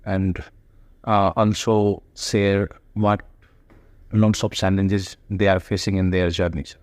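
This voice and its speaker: Hesitant man, low dry voice. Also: level tone, dry recording. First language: Hindi. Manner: hesitant, many pauses, politely says sir. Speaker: student and author being interviewed. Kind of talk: halting conversational answers.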